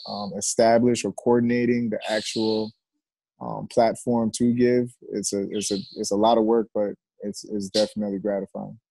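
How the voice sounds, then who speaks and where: Middle-aged male coach, mellow and gravelly, reflective and halting, casual interview. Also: fairly low pitch, little pitch movement, no echo, poor audio. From Jamaica and United States. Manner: reflective, halting with repeated words. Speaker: high school football coach, interview guest. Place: covered outdoor porch.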